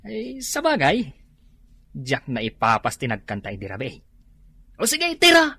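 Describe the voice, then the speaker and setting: A man's voice: mid-range voice, wildly swooping pitch, moderate pace, bright, forceful tone, husky, exaggerated voice. A man with an Ilocano accent playing a groggy, hungover drinking buddy in a comedy radio drama, whiny and hesitant. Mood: sheepish. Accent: Ilocano, northern Philippines.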